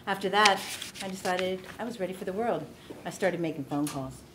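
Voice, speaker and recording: A woman's voice: fairly low pitch, brisk, very noisy, natural voice. A woman playing a tough, streetwise astronaut, blunt and matter-of-fact, at a comedy table read.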